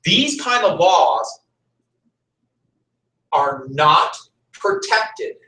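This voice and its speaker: High-voiced man lecturing in an echoey room. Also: very animated, drawn-out words, sharp tone. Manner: conversational, repeats key points for emphasis. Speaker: history teacher. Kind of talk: classroom lecture. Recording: echoey room, mic far off.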